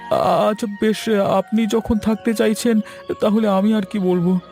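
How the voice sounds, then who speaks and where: A man's voice: high-pitched, fairly even intonation, a little slow, a little mellow, soft background music. A man voicing a timid, deferential and helpful village man in a cartoon, with hesitant, stammering speech. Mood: polite, nervous. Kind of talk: cartoon voice acting.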